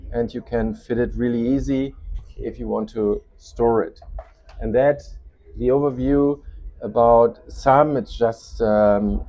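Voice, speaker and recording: A man's voice: mid-range voice, mellow. Man presenting with a German accent. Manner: non-native English with halting, searching phrasing. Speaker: company representative presenting a product. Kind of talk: informal product demonstration.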